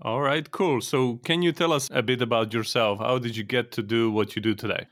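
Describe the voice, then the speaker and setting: A man hosting a podcast interview, conversational and halting, often restarting his questions. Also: mid-range voice, fairly even intonation, fairly bright tone, no room sound, studio quality. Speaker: podcast host.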